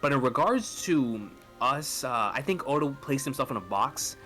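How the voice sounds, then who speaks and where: Bright, forceful man, excited fan talk. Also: mid-range voice, moderate pace, faint music behind. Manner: excited, emphatic, repeats phrases for stress. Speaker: podcast speaker discussing manga. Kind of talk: casual fan discussion.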